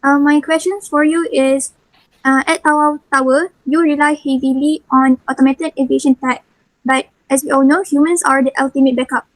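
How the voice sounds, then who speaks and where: High-voiced young Malaysian woman. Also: fairly even intonation, normal speed, no room sound, rough recording. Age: young adult. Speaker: student interviewer. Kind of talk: formal prepared question.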